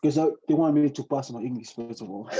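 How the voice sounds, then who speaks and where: A young man from Tonga, a native Tongan speaker talking English, telling his story haltingly with many fillers and laughs. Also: mid-range voice, mellow, dull audio, slight background noise. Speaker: podcast guest, student pilot. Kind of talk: casual interview storytelling.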